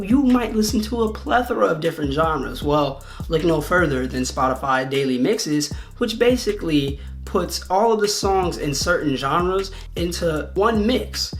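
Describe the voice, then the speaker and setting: A video host, delivering conversational video essay commentary. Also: mid-range voice.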